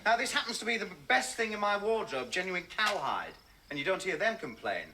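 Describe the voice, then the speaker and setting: An actor playing a stubborn, proud middle-aged husband in a sitcom, wry and defensive. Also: male voice, high-pitched, sing-song, fairly quick, bright, forceful tone, exaggerated voice.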